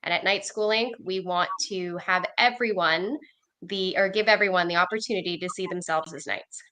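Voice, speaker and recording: A woman's voice: lowish voice, moderate pace, sharp tone, low-quality recording. A Canadian woman author on a video call, telling her story with energy and confidence. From Milton, Ontario, Canada. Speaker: author and jouster.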